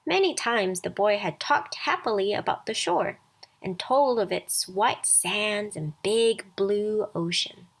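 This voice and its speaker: A woman reading a scripted children's bedtime story aloud as storyteller, expressive and deliberate. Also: medium pitch, vocal fry.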